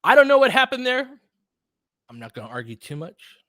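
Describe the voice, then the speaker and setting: A man doing live basketball play-by-play as an excitable, partisan fan, chatting casually with his viewers. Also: very high voice, a little fast, no room sound, clean studio sound. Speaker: fan play-by-play commentator, streamer.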